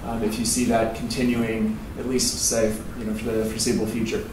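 A man in the audience asks the panel a long, hesitant question full of fillers. His delivery is flat and even. He sounds distant, in an echoing lecture hall with clear background noise. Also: on the low side, a little fast. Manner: rambling, hesitant. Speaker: audience member, PhD student. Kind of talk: asking a question at a seminar. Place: lecture theatre. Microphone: roving handheld microphone. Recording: roomy hall, distant, noisy.

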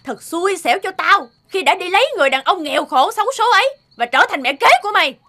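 A woman voicing a cruel, resentful stepmother scolding harshly in an animated fairy tale. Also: very high voice, quick pace, exaggerated voice. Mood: angry scolding. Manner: harsh, contemptuous.

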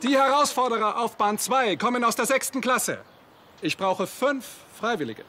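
A man's voice: very high voice, lively intonation, moderate pace. An actor playing a cheerful middle-aged male schoolteacher in a TV drama, announcing a contest to a group in a theatrical, instructive way. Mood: cheerfully announcing. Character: cheerful male schoolteacher staging a Roman chariot race. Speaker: teacher leading a school contest. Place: outdoor courtyard. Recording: outdoor courtyard with background noise.

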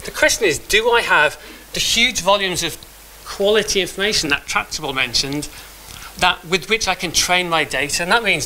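A man's voice: high-pitched, bright, forceful tone, a little hiss or hum, rough recording. A middle-aged man giving a live talk with a demo in a meeting room, speaking conversationally with frequent restarts and fillers. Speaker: conference speaker.

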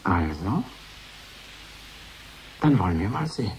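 A man's voice: sits low, big pitch swings, heavily acted. An actor playing a brooding, sardonic man muttering bitterly to himself in a radio play. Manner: slow musing, muttering in short broken phrases. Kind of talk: 1980s German radio play monologue. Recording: hissy archive radio recording.

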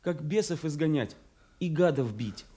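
An actor playing a haunted, raving mad beggar in a Soviet radio drama, chanting in fragments. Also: male voice, fairly high pitch, expressive, a little slow, mellow, slight background noise. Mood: crazed. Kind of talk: classical Soviet stage acting for radio. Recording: echoey Soviet radio theatre recording.

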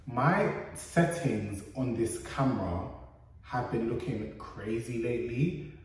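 A man's voice: mid-range voice, animated, takes it slowly, a little hiss or hum, poor audio. A man in his thirties with a London British accent, chatting casually and candidly in slang as a vlogger, recorded indoors on a camera mic. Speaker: YouTube vlogger. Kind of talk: casual vlog chat. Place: indoors in a flat: kitchen, living room, bedroom.

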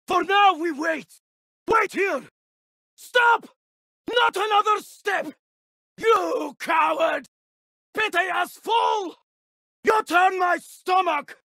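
Medieval eastern warrior, video game battle barks: very high strained shouts, slow emphatic delivery, dramatic man of war. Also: no room sound, studio quality, exaggerated voice. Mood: commanding.

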